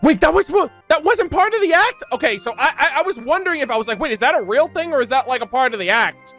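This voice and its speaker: A man's voice: very high voice, animated, quick pace, pushed and bright. A man giving casual, slangy reaction commentary as a game streamer, easily amazed. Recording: game music behind.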